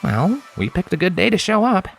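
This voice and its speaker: A man's voice: sits high, big pitch swings, moderate pace, vocal fry, soft background music, voice pushed up, exaggerated voice. A man voicing an easygoing young stallion, a pony mage in a fantasy story, casual and reassuring. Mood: cheerful.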